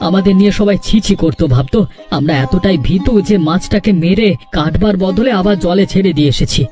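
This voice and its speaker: A troubled younger brother in a cartoon, played by a man, earnest and pleading. The voice is high for a man yet mellow and soft-edged, with faint music underneath. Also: fairly quick, lightly acted. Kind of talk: Bengali cartoon voice acting.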